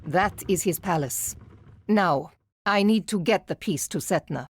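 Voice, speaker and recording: A woman playing a solemn, determined priestess of ancient Egypt in a video game cutscene, grave and measured. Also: on the low side, slightly rough, dry recording.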